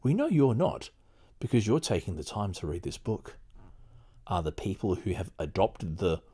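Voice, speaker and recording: Male podcast host, middle-aged, husky edge, very expressive intonation, casual livestream and read-aloud style. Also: lowish voice, normal speed. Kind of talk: casual livestream chat and reading a book aloud.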